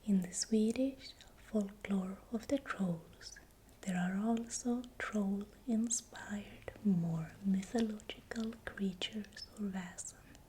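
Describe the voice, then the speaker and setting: A woman narrating in ASMR style in a breathy, unvoiced whisper, speaking softly and deliberately. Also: mid-range voice, a little hiss or hum. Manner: slow, deliberate, repeats words softly. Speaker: ASMR creator narrating folklore.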